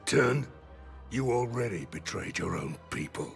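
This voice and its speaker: An actor playing a gruff, cynical veteran mercenary in a video game, gravel-voiced, blunt and grumbling. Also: male voice, on the low side, expressive, unhurried, heavily acted. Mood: scornful, irritated. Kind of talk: cinematic video game acting. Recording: game music underneath.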